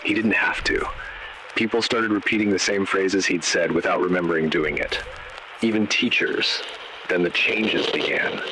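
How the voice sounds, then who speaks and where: A man with a very low voice narrates a horror story. He is measured and grave, letting short, ominous phrases fall one by one. Noticeable static runs through the recording. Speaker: narrator of an analog horror video. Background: static noise.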